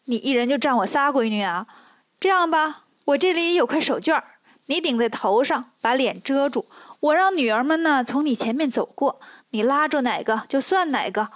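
A woman's voice: sits high, lively intonation, normal speed, dry recording. A woman voicing a crafty, coy middle-aged mother-in-law with a coaxing manner in a storybook tale. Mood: indignant, coaxing.

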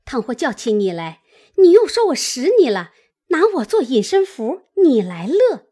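A woman's voice: high voice, sing-song, moderate pace, dark tone, husky, pitched above the performer's own voice, exaggerated voice. A woman voicing a foolish, domineering old servant woman in a storytelling reading, scolding and nagging. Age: older. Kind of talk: dramatised classic novel storytelling.

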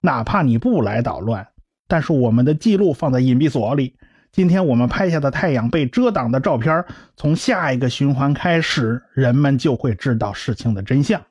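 A man's voice: mid-range voice, animated, moderate pace, a little mellow, breathy edge. A man doing an earnest lecturing scientist. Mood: explaining. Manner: explaining at length, lecturing. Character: learned male scientist, earnest lecturing explainer. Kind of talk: amateur radio drama. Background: faint music.

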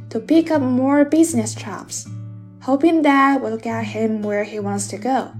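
A woman hosting a podcast, reading a smooth scripted introduction and then asking loose, casual questions. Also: a little high. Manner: smooth, even narration; loose, filler-laden questions. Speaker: podcast host. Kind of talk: scripted narration and interview questions.

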